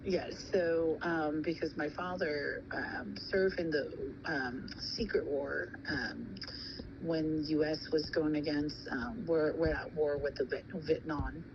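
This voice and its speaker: A woman in her thirties, born in Thailand and raised in California, answering interview questions slowly, with frequent pauses and ums. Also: deep voice, vocal fry. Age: 30s. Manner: hesitant, many pauses and ums. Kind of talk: casual recorded interview. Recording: muffled with steady background noise.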